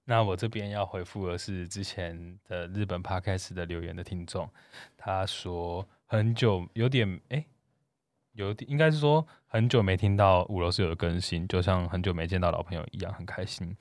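A man's voice: low-pitched, normal speed, no room sound, studio quality. A man co-hosting a podcast, chatting casually and reading out comments from listeners. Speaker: podcast co-host.

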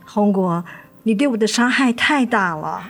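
A woman's voice: medium pitch, expressive, moderate pace. An actress playing a hurt, anxious mother in a radio drama, with a guarded, sorrowful, emotional manner. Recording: music under the voice.

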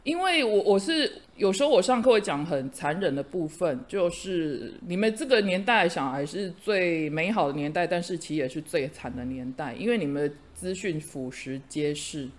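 A man's voice: sits high, animated, normal speed, few pauses. A middle-aged man, a teacher hosting a chat podcast, earnest and encouraging, fond of idioms. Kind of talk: casual chat.

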